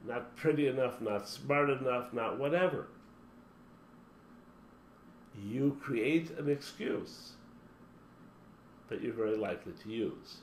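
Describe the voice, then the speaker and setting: A man's voice: mid-range voice, a little slow. A man giving a motivational talk as a spiritual teacher, speaking emphatically and running through long spoken lists. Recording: roomy echo with faint background hum.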